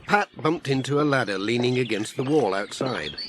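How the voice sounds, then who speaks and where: A man's voice: mid-range voice, lively intonation, normal speed. A man reading a scripted children's story as the audiobook narrator.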